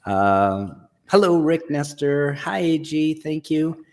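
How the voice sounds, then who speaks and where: A man hosting a live online show about comic conservation, talking in a relaxed, rambling, self-deprecating way. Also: a little high, takes it slowly, a little hiss or hum. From Texas. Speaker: host. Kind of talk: casual live show chat.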